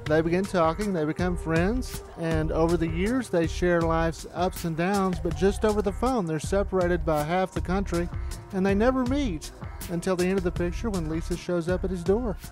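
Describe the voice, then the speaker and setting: A man's voice: high-pitched, normal speed, a little mellow. A man narrating a reflective, anecdotal documentary retrospective as the filmmaker. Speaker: filmmaker looking back on studio's history. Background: music.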